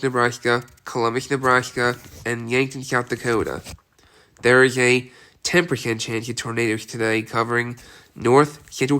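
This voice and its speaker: A congested man with a cold, his stuffy voice still bright and sharp, reads a severe weather outlook. He talks in a plain, repetitive way, checking in with "all right" after each list of cities. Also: mid-range voice. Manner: repetitive, reads city lists with frequent "all right" and "okay". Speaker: weather forecaster. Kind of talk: amateur weather outlook video.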